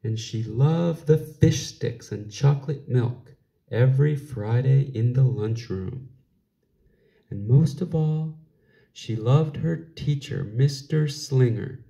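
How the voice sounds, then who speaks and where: A man reading a children's picture book aloud in an expressive storytelling style, with deliberate phrasing. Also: mid-range voice, vocal fry. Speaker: storybook reader.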